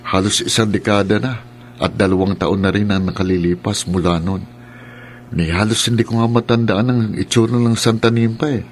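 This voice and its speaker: A man's voice: lowish voice, fairly even intonation, normal speed, a little hiss or hum, lightly acted. An actor playing an easygoing, lovestruck male college student in a radio drama. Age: young adult. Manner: easygoing, pleading.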